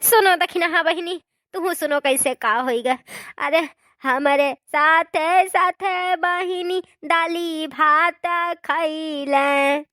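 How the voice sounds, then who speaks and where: A very high, bright voice a cartoon village widow in comic mourning. She keens in a drawn-out Awadhi singsong, very slowly and theatrically. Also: no echo, studio quality, exaggerated voice. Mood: fussing. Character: wailing village widow lamenting her dead husband in comic mourning. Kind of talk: cartoon comedy mock lament.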